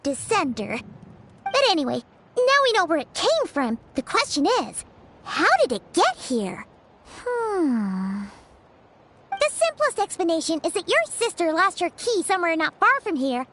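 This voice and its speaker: A woman voicing a tiny, excitable, chatty childlike fairy companion in a video game, squeaky and full of exclamations. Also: unhurried, slight background noise, full character voice. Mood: thoughtful. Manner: bubbly, exclamatory, refers to self by name. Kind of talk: animated video game dialogue.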